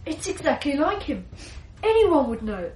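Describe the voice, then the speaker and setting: A woman's voice: high-pitched, sing-song, moderate pace, a little mellow, roomy sound, poor audio. A woman playing an eager, modest young English schoolboy who admires his friend, in a radio drama. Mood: admiring. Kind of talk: 1980s radio drama acting.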